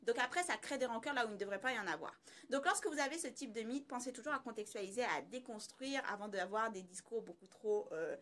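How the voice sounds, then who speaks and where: Higher-voiced woman giving emphatic explanatory commentary. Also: lively intonation, fast talker, hardly any pauses, a bit bright. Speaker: commentator on social issues. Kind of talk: conversational explanatory analysis.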